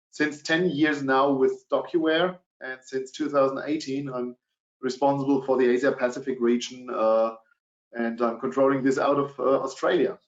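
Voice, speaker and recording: German-accented middle-aged male presenter, hesitant delivery, average pitch, echoey room. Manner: hesitant, many fillers. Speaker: webinar presenter. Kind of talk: presenting a business webinar. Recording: dull, roomy webinar audio.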